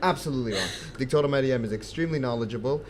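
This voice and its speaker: A man's voice: medium pitch, racing, a crowd in the background. A young man hosting a casual podcast, chatty, with many fillers and frequent laughs. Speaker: podcast host. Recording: faint background noise.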